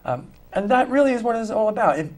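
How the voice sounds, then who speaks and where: A man's voice: high-pitched, sing-song, fast, darkish tone. A man who plays in a tribute band, talking earnestly and reflectively in an interview, with children of his own. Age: adult with children. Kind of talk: interview conversation.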